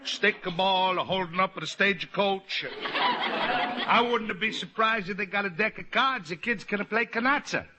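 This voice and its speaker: A man's voice: high-pitched, unhurried. An actor playing a sly, overbearing Italian immigrant father who wheedles and boasts in broken English with an Italian accent, in old radio comedy style. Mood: boastful, jovial. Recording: noisy vintage radio recording.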